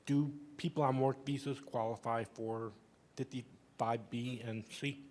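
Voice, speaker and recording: A man in a workshop audience with a low, quiet voice, asking a short question. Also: fairly even intonation, measured pace, big-room sound. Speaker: audience member.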